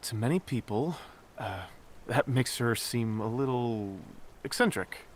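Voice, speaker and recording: A man playing an earnest, thoughtful sect disciple who recounts old tales in a measured, dramatic way for a video game. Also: medium pitch, expressive, slight background noise, close to an everyday voice. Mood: hesitant, thoughtful. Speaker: non-player character giving backstory. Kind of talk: expressive storytelling in video game dialogue.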